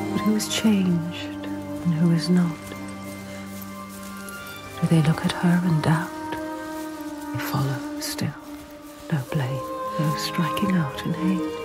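A woman playing a solemn storyteller spirit in a video game, narrating in a hushed, breathy, measured and ominous poetic cadence. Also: deep voice. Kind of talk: scripted dramatic game narration. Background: loud music.

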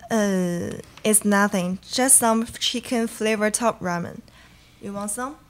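A woman's voice: medium pitch, slow pace. A young woman playing an easygoing camper in her twenties in a student radio play, reading hesitantly at a stand microphone. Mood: shy, friendly. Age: young adult. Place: small studio, indoors.

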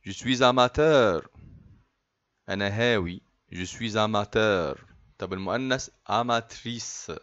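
A man with an Egyptian Arabic accent teaching French, repeating each phrase slowly and then explaining it quickly. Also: fairly low pitch, fairly bright tone, muffled recording. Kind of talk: slow repetitive language drill.

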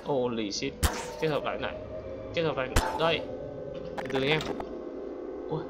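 A man's voice: medium pitch, normal speed. A man giving casual, exclamatory gameplay commentary as a gaming YouTuber, throwing in English exclamations. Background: music.

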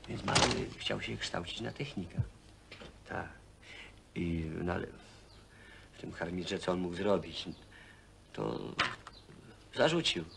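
An actor playing a simple, touchy hostel labourer who speaks plainly and haltingly, repeating himself, in a film drama. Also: male voice, sits low, creaky, heavily acted. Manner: halting, repetitive, plain-spoken. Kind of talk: naturalistic film acting. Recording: faint background noise, old film soundtrack.

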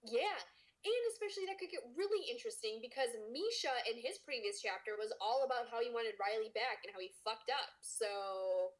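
A woman's voice: fairly high pitch, animated, fairly quick. A young adult woman co-hosting a podcast with casual, playful and crude banter. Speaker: podcast co-host.

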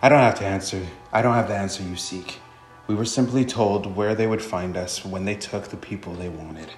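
A man's voice: deep voice, brisk, lightly acted. A man reading aloud the lines of a defiant, well-spoken raider grunt in a visual novel. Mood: resigned defiance. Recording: roomy echo, faint music behind.

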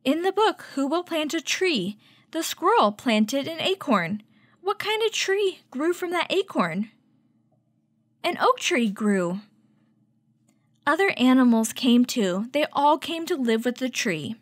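A woman hosting a children's story time, reading a picture book aloud and teaching with clear, instructive delivery. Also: high-pitched, big pitch swings, takes it slowly, no echo, clean studio sound. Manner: clear, instructive, addressing young children with questions.

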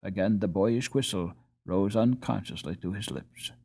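A man reading a children's novel aloud as an audiobook narrator, in an expressive, dramatised way. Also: lowish voice, moderate pace, slightly rough. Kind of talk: scripted storybook narration.

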